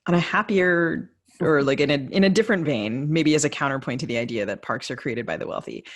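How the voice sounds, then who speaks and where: A man's voice: on the high side, a little fast, a bit bright. A man co-hosting a podcast, asking questions in a casual, conversational interview style.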